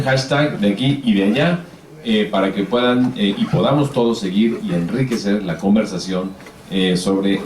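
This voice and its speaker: A man's voice: lowish voice, slight background noise. A man moderating a public panel, politely explaining the format and calling up speakers, with frequent hesitation fillers. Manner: organized, polite, filler-heavy. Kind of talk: event moderation. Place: event hall. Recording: echoey hall, distant mic.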